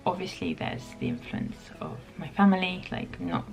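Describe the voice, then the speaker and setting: Woman, 30s, from the UK, vlogger; low, gravelly, thoughtful and halting. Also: wildly swooping pitch, faint music behind, rough recording. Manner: reflective, soft-spoken, many pauses and ums. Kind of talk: casual chat to camera.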